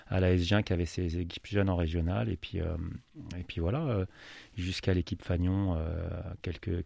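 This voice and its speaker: A man in his thirties, a football coach, answering radio interview questions in a relaxed way with many hesitations and restarts. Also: deep voice, gravelly, no room sound. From Paris region, France. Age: 30s. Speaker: football coach and club manager. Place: radio studio.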